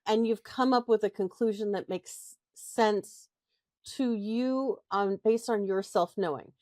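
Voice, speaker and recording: A woman's voice: mid-range voice, measured pace, darkish tone, dry recording. Woman explaining, dry close sound. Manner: explanatory, frequent "right?" tag questions. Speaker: podcast co-host. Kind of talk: casual podcast discussion.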